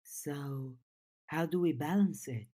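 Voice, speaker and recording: A gentle, thoughtful teenage girl from an audiobook, read by a woman. She is soft-spoken and takes her lines slowly. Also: deep voice. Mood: curious. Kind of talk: audiobook character dialogue.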